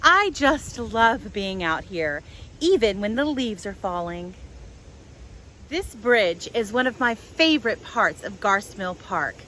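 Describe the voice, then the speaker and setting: A woman hosting a children's storytime outdoors, telling things in simple, deliberate phrases for small children. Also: medium pitch, big pitch swings, sharp tone, rough recording. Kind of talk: storytelling and guided talk for young children. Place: outdoors in a public park. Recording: outdoor park with faint background noise.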